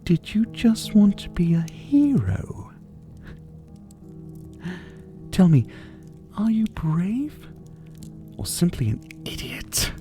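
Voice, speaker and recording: A man's voice: high-pitched, wildly swooping pitch, warm tone, faint music behind, exaggerated voice. A man playing a menacing, teasing vampire captor in an intimate ASMR roleplay, drawling and mockingly polite. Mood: probing, mocking. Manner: slow, drawling, mockingly polite, with breathy chuckles. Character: menacing, teasing male vampire captor, silver-haired, about seventy.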